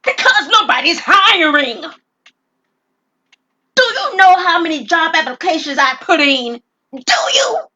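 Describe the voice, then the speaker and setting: Woman acting a forceful, desperate middle-aged male robber. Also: very high voice, big pitch swings, measured pace, long pauses, voice pushed up, exaggerated voice. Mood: agitated, bitter. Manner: forceful, bitter ranting. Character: unstable, desperate robber, man in his forties. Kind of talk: audio drama acting.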